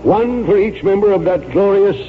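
An actor playing a stubborn, pompous retired colonel in his sixties, speaking deliberately in a 1940s radio drama. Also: high-pitched, animated, a little hiss or hum. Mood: stubborn, proud. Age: 60s or older. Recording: dull vintage radio broadcast, faint music.

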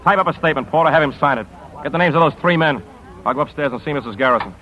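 An actor playing a hard-nosed, commanding newspaper editor in a 1950s radio drama, blunt and clipped, on a dull old recording. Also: male voice, fairly high pitch, lively intonation, very fast, noisy background, close to an everyday voice. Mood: brusque.

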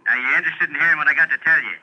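Muffled, brusque American phone tipster. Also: male voice, a little high, rapid-fire. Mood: impatient, scornful. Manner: slangy, impatient, tough talk. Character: brusque, insulting male tipster on the telephone. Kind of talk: 1950s radio drama acting. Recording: muffled phone voice on old radio.

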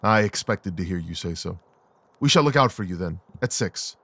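A man playing a brilliant, coolly rational gentleman detective in an audio drama, speaking in a precise, clipped, analytical way. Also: sits low, animated, normal speed, slightly rough, dry recording, full character voice. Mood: keen, decisive.

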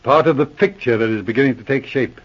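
An actor playing a patient, methodical Mountie sergeant who teases dryly, in 1940s radio acting. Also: male voice, mid-range voice, fast, lightly acted. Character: methodical, dry-witted male Mountie sergeant. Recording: dull lo-fi old radio broadcast.

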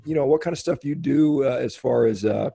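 A man's voice: mid-range voice, creaky, dark tone, slightly rough. A man hosting an interview, asking rambling questions with many restarts. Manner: halting, restarts questions often. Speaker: host interviewer.

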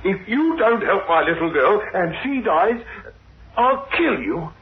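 An actor playing a desperate, threatening father, accusing and forceful, in 1960s radio horror drama style. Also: sits high, big pitch swings, measured pace, noisy background, full character voice. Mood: desperate anger. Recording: tinny, band-limited old radio recording.

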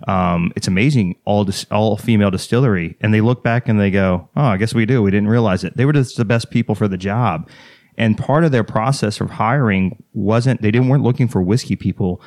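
A lowish, dryly recorded male voice with a light Texas accent. He hosts a casual interview, rambling through long questions and poking fun at himself as he goes. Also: a little mellow, dry recording, studio quality. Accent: American, slight Texas. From Texas, United States. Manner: rambling, self-deprecating, jokey. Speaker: podcast host interviewing a guest.

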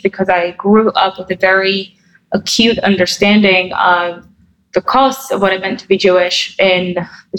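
A woman guest in her thirties, from New Jersey, talks through her answers in a relaxed interview. Her pitch sits mid-range for a woman, and she thinks aloud with frequent fillers and self-corrections. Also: low-quality recording. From New Jersey, USA. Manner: thoughtful, many fillers and restarts.

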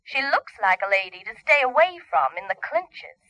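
A sassy wisecracking secretary. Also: female voice, on the high side, very animated, moderate pace, sharp tone. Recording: dull 1950s radio recording.

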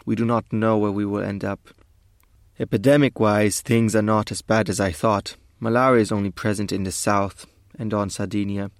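Guy playing a ww2 german army doctor reading his diary, fairly low, formal and orderly, very dry. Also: dry recording, lightly acted. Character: German Second World War army medical officer writing his diary.

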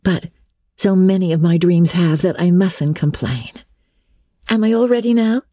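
A woman's voice: fairly low pitch, measured pace, dark tone, no room sound, studio quality, pitched above the performer's own voice. A woman voicing an earnest, dreamy teenage girl, affectionate and a little anxious, in a storybook reading. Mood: wistful, affectionate. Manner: earnest, wistful.

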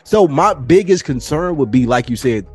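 A man's voice: moderate pace, husky, faint music behind. A young man co-hosting a podcast, telling stories casually and animatedly. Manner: animated storyteller, mimics people in higher voices. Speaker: podcast co-host. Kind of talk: casual chat.

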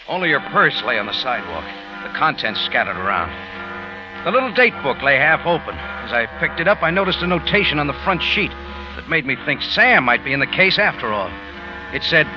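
An actor playing a tough, wry detective who tells his own story in clipped slang, in 1940s radio drama style. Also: on the high side. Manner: hard-boiled, clipped, slangy. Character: tough, wry American detective hero telling his adventure. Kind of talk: 1940s radio drama acting and first-person narration. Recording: muffled old radio recording, music behind.

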